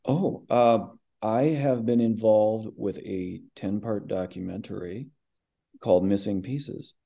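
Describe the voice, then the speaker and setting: A man's voice: fairly low pitch, fairly even intonation, takes it slowly, creaky, dark tone. A man from the American Midwest, a voice actor, talking about his work as an interview guest, thoughtful and rambling with many restarts.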